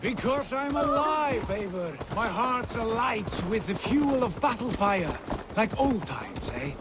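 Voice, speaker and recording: Grandiose, high-voiced Viking prince. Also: male voice, very animated, slow, noisy background, heavily acted. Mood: fervent, exalted. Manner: grandiose, poetic, dramatic phrasing. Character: fervent Viking warrior prince, fired up by prophecy. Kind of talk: cinematic video game acting. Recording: game audio with horses and outdoor ambience.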